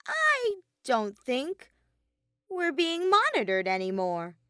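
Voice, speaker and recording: A woman's voice: high-pitched, wildly swooping pitch, slow pace, fairly bright tone, no echo, clean studio sound, voice pushed up, full character voice. A woman voicing a mischievous, sadistic male robot bear in an audio story, flippant and taunting. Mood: hesitant. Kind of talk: fan fiction audio story character voice.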